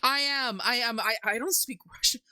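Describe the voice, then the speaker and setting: A woman's voice: on the high side, normal speed, sharp tone, no echo. Casual woman, very dry sound. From Canada. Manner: jokey, giggly, rambling. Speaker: livestream host. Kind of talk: casual commentary on folk tales.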